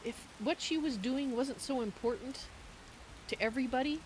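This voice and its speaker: A woman's voice: high voice, moderate pace, pitched above the performer's own voice, lightly acted. Lady playing a friendly cat-woman adventurer in a fantasy game, talks about herself in third person, bit of rain noise. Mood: wistful. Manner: refers to self in third person. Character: warm-hearted female Khajiit cat-person adventurer speaking in third person. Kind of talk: improvised video game roleplay. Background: game rain ambience.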